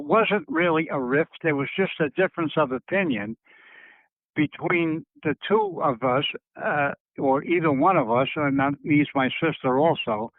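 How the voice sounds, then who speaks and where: Older male interviewee, slightly high-pitched, hesitant and rephrasing as he reflects, thin telephone sound. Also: no room sound. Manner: halting, circling and rephrasing, searching for words. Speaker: interviewee recalling family history. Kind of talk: reflective phone interview talk. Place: on a telephone call. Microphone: telephone handset. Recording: tinny narrowband phone line.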